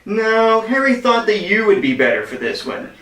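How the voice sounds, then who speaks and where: An actor playing an upbeat, ingratiating office colleague who pushes with flattery in a sitcom-style radio play. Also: male voice, sits high, normal speed. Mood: smooth, insistent. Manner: ingratiating, insistent. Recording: roomy, echoey sound.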